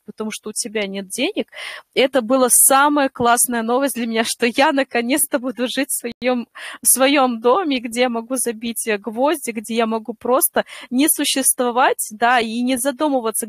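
A hoarse woman in her thirties, earnestly telling her own story in an online voice chat, with many fillers and restarts. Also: fairly high pitch, steady tone, normal speed, dry recording. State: hoarse today. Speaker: network-marketing member giving a testimonial. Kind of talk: emotional personal testimony in an online voice chat.

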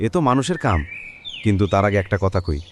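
A man's voice: on the low side, sing-song, fast talker. A man with a rural Bangladeshi accent playing a kind, devout village friend in a cartoon, earnest and reassuring. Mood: consoling. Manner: earnest, consoling, gently exhorting. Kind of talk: cartoon voice acting. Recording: faint background noise with birdsong.

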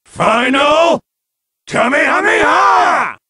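An actor voicing a cocky fused male warrior in a video game, with a raspy, layered voice, taunting and shouting battle cries in a studio recording. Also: very high voice, expressive, very slow, slight background noise, exaggerated voice. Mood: fierce battle shouts.

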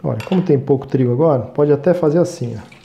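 A man in his thirties with a Brazilian Portuguese accent, hosting a cooking show and chatting to viewers as he works, often checking in with a quick "right?". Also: medium pitch, creaky, warm tone, echoey room, dull audio. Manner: chatty, talks to viewers as "gente", frequent "tá?" checks. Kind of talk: cooking demonstration. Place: small studio kitchen set.

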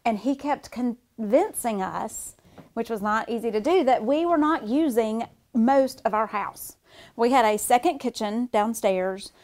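A woman's voice: on the high side, a little slow, a little mellow. A woman in her forties chatting casually and enthusiastically as she leads a tour of her home renovation, filmed by a camera a few steps away in a bare-framed basement. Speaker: homeowner and show host. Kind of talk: casual interview chat. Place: gutted basement under renovation, indoors. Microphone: far camera.

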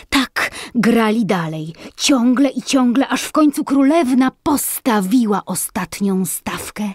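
A woman narrating a fairy tale dramatically as a storyteller, in a husky voice. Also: mid-range voice, a little mellow, no room sound.

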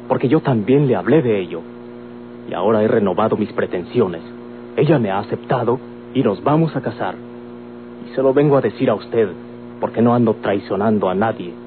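A man's voice: fairly low pitch, fairly quick, lightly acted. A man acting in an old Mexican radio drama as a firm, defiant grown son in love, earnest and declamatory. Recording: old tinny radio recording, noisy hum.

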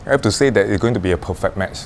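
Low-voiced man chatting over dinner. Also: rapid-fire, a little hiss or hum. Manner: relaxed, hesitant, says "you know" often. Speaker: diner guest. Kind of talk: casual dinner conversation. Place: upscale restaurant dining room.

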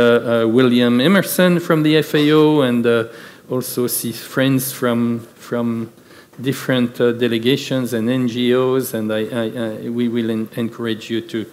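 A man moderating a conference panel, speaking with many fillers and restarts. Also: medium pitch. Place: large conference hall with audience. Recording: reverberant conference hall.

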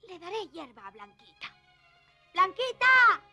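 High-pitched, earnest young boy. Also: animated, a little slow, sharp tone, exaggerated voice. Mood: musing. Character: earnest young goatherd boy, plain-spoken and eager. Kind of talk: Spanish-language animated series dubbing. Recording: music behind the dialogue.

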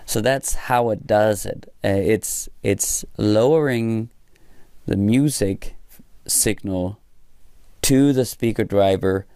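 A man with a fairly low, mellow voice teaches in an informal, hands-on way. He takes his time, building explanations in halting short phrases as he thinks aloud. The sound is close and dry. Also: clear, resonant tone, no echo. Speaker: electronics instructor. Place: small indoor room at a workbench.

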